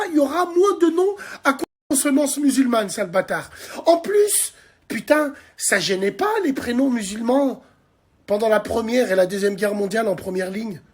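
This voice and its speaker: A man in his forties from France, ranting to camera from a dark bedroom at night on his phone. He is heated and crude, hurls insults and speaks straight to his viewers. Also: very high voice, husky. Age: 40s. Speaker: social media commentator. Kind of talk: angry political rant to camera. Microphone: phone held at arm's length.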